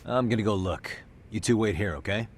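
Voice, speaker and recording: A man's voice: fairly low pitch, brisk, a little hiss or hum, close to an everyday voice. A man playing a cool-headed, street-smart young private detective with a wry edge in a video game dub. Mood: tense, focused. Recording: music under the voice.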